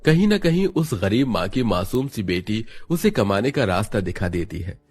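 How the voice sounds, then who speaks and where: A man's voice: lowish voice, very animated, normal speed, darkish tone, breathy edge. A man narrating a scripted story in a dramatic storytelling style.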